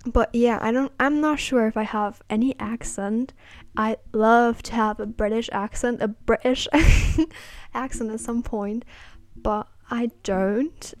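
Young woman, eighteen, solo podcast host, rambling filler-heavy chat, fairly high voice, dry close sound. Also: steady tone, slow, no room sound. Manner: rambling, hesitant, many "like" fillers; self-conscious and apologetic.

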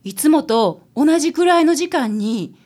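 Woman doing a nosy kansai housewife, pushy and gossipy, high bouncy voice. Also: expressive, measured pace, a little mellow. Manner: indignant, gossipy.

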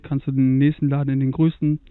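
A man's voice: mid-range voice, little pitch movement, fast, clean tone, no echo. A young man from Germany, chatting as a guest about travel, hesitant, with many fillers. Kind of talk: casual conversation about travel. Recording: tinny lo-fi phone-like sound.

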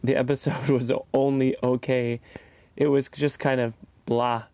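A man's voice: mid-range voice, flat delivery, a little slow, dark tone. A man hosting a podcast in casual chat, with jokey, playful asides. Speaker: podcast host.

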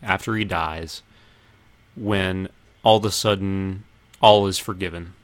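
A man's voice: low voice, fairly even intonation, measured pace, dry recording. A man hosting a casual film discussion with friends, thinking aloud in a tentative way. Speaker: podcast host.

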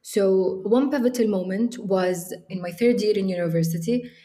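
A woman's voice: mid-range voice, normal speed, warm tone. A young woman from Libya, talking as an interview guest, hesitant with many ums and restarts. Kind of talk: casual interview talk.

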